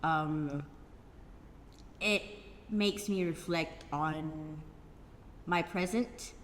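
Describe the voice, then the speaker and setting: A middle-aged woman, reflective and measured as she speaks in a casual cast interview. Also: low voice, a bit bright. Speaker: stage play cast member. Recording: reverberant hall, faint background noise.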